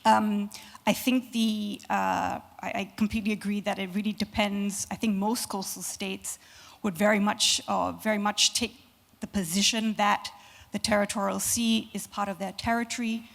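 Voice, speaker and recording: A hoarse woman losing her voice, giving a hesitant academic panel response full of fillers. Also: mid-range voice, flat delivery, normal speed. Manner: hesitant, many fillers, thinking aloud. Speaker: conference panelist. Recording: reverberant conference hall.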